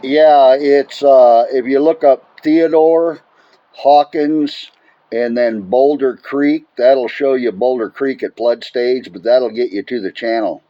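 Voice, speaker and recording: A man's voice: mid-range voice, fairly even intonation, slow, dull audio. An American man chatting casually as a radio operator in a rambling, folksy way. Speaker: amateur radio operator, channel owner recording contacts.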